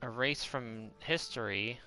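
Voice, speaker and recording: A man's voice: medium pitch, slow pace, pushed and bright. A man who streams games, giving casual commentary as he plays. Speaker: game streamer. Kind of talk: casual commentary over gameplay. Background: game music and dialogue.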